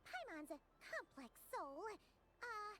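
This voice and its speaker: A woman voicing a small, childlike fairy companion in a video game, squeaky, cheeky and exclamatory. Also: very animated, slow, heavy background noise, full character voice. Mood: flustered, cheeky. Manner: exclamatory, speaks of herself in third person.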